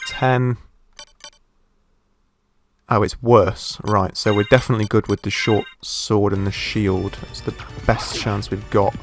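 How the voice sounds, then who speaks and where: A man with a croaky voice from a sore throat, casually commenting while he plays a game and thinks aloud. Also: fairly low pitch, steady tone, a little slow, music in the background. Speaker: gameplay commentator. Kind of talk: casual let's play commentary.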